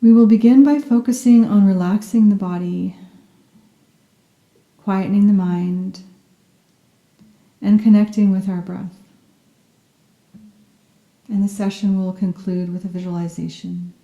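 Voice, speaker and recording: Very mellow, soft-spoken woman guiding meditation. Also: mid-range voice, fairly even intonation, roomy sound, dull audio. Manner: soft-spoken, soothing, gentle and unhurried phrasing. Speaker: yoga nidra meditation guide. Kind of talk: guided meditation, scripted narration.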